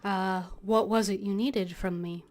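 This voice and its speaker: Woman game streamer, medium pitch, chatting casually and reading out dialogue options as she plays. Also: fairly even intonation, measured pace, a little mellow. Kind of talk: casual gameplay commentary, reading dialogue choices aloud.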